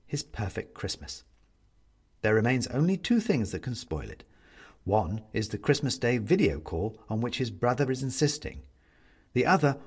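A man narrating a literary story as an audiobook reader, measured and wry, with theatrical, deliberate phrasing. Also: mid-range voice, a little mellow, husky.

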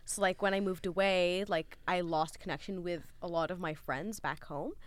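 A woman's voice: lowish voice, steady tone, moderate pace. A young woman in a casual podcast chat, talking in an easygoing, slangy way.

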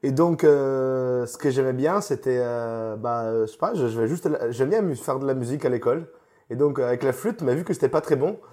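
A young man from Italy, a chef, talks through his answers in a loose, rambling way, often restarting and poking fun at himself. His voice sits at an ordinary middle pitch. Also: darkish tone. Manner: rambling, self-deprecating, with restarts. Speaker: chef, interview guest. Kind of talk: casual interview answers. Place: home, remote call.

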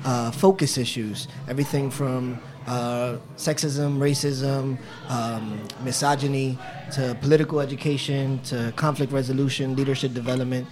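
Animated New York man in his thirties. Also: mid-range voice, moderate pace, few pauses, crowd noise. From New York, United States. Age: 30s. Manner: animated storytelling, fillers, laughs. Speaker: poet, interview guest. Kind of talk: conversational interview.

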